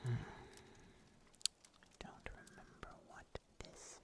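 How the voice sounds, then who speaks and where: A performer voicing a calm, seasoned monster huntsman in a soft, breathy ASMR whisper, telling tales slowly and deliberately. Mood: calm, musing. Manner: slow, deliberate, hushed storytelling with long pauses.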